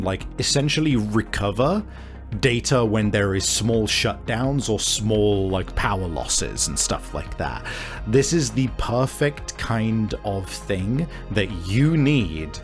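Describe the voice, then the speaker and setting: A man improvising narration as a tabletop game master, halting and informal as he describes things. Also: lowish voice.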